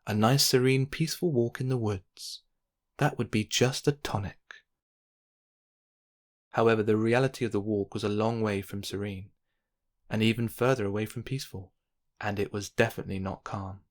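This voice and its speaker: A man narrating a children's bedtime story in an expressive, measured read-aloud style. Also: lowish voice.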